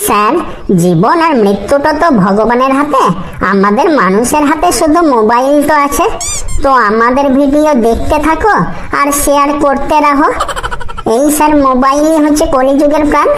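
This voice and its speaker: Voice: sits high, normal speed, a bit bright. A performer voicing a cheeky, wisecracking school pupil in a comedy cartoon, with a cocky, explanatory delivery.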